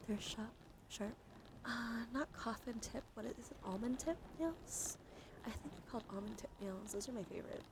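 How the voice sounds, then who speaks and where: Soft spoken asmr streamer girl, half whispering, creaky, rambles gently, noisy close mic. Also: fairly high pitch, moderate pace. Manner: soft-spoken, soothing, meandering with gentle repetition. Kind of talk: intimate ASMR, partly whispered, chatting to viewers.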